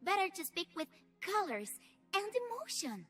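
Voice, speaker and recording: A woman's voice: very high voice, very animated, moderate pace, pushed and bright, soft background music, exaggerated voice. A woman voicing a playful, childlike and tender shapeshifting chameleon creature in a video game, speaking in simple broken sentences about herself in the third person. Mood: dreamy, tender. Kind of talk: video game character voicelines.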